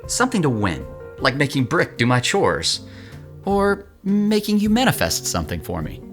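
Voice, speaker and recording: A man playing a cocky young card sharp in an audio drama, explaining things in a coaxing, animated way. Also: mid-range voice, normal speed, faint music behind. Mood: sly, coaxing.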